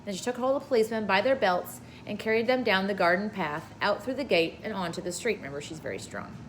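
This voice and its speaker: A woman's voice: mid-range voice, fast, fairly bright tone, slight background noise, low-quality recording. A woman reading a children's story aloud as an informal storyteller. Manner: informal, with brief asides to listeners.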